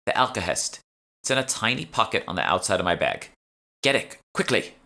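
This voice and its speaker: A man acts out a brusque female alchemist from an urban fantasy audiobook. She is irritable and sardonic, explaining things curtly with a sharp, forceful edge. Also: fairly low pitch, very animated, a little fast, pitched above the performer's own voice, full character voice. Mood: strained, impatient. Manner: curt, sardonic, sweary. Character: brusque, irritable young woman, know-it-all alchemist partner.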